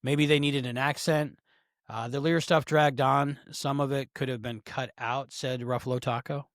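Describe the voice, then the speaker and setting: A man's voice: medium pitch, fairly even intonation, moderate pace, no room sound, studio quality. A man co-hosting a podcast, chatting casually with offhand, joking asides. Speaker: podcast co-host. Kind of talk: casual review chat.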